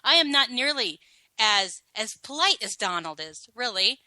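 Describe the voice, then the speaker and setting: A woman's voice: on the high side, sing-song, measured pace, bright, forceful tone, no room sound. A woman co-hosting a political talk show, ranting bluntly and with exasperation. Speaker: co-host.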